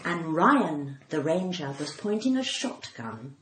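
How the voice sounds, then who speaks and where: Animated cut-glass British woman, roomy sound. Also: low voice, slow pace. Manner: theatrical, acts out dialogue. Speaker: comedian and podcast guest. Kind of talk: animated conversational storytelling. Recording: roomy echo, faint background noise.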